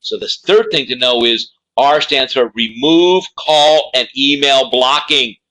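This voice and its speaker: A man's voice: medium pitch, a little slow, bright, forceful tone, rough recording. A man presenting as a career coach, giving instructional advice in an emphatic way and repeating key words for stress. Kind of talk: instructional talk to camera.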